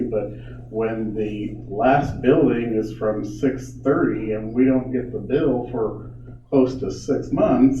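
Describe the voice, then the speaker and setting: A man's voice: mid-range voice, dark tone, slight background noise. A man on a board defending himself in a public meeting, indignant and defensive. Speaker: board director. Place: meeting room. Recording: echoey room, distant muffled mic.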